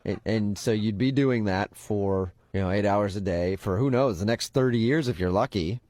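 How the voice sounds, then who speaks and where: A man's voice: lowish voice, moderate pace, darkish tone, lightly acted. A man playing an upbeat, pushy temp agency recruiter on a prank phone call, talking casually and keeping a straight face. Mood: breezy, pushy. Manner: casual, breezy, straight-faced salesman patter. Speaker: radio prank caller.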